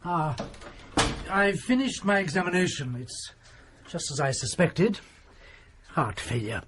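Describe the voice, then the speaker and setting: An actor playing a bitter, condescending country doctor who talks in a cold, dismissive way. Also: male voice, fairly high pitch, animated, a little slow, fairly bright tone, a little hiss or hum. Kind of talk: period radio drama acting.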